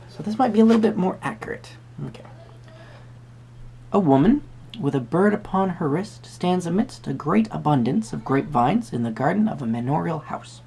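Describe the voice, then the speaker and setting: A creaky, gravel-edged voice at a middling pitch gives a roleplay tarot reading. The delivery is hesitant and musing, with wordy, formal phrasing, over noticeable background noise. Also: mellow, low-quality recording. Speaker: tarot reader host. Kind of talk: soft-spoken roleplay tarot reading addressed to the viewer.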